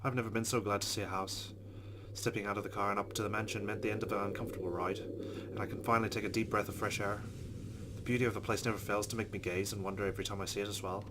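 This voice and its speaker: A man's voice: fairly low pitch, flat delivery, quick pace, background noise. A man reading a game's narration aloud, adding wry asides. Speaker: let's play host. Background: game music.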